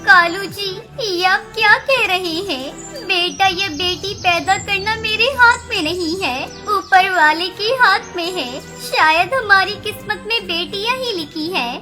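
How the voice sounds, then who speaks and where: A fretful little mother bird from a children's cartoon, her voice pitched very high and pleading. Music plays clearly behind her. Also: unhurried, few pauses, pushed and bright, exaggerated voice. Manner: pleading, anxious. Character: worried gentle mother sparrow, soft-spoken. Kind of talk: Hindi cartoon voice acting. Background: background music.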